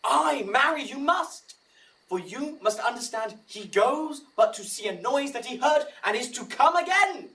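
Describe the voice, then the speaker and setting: A woman's voice: high voice, animated, measured pace, fairly bright tone, recorded from a distance, full character voice, low-quality recording. A woman playing a bossy, easily exasperated director of amateur players in a Shakespearean audio drama, theatrical and commanding. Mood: brisk, bossy.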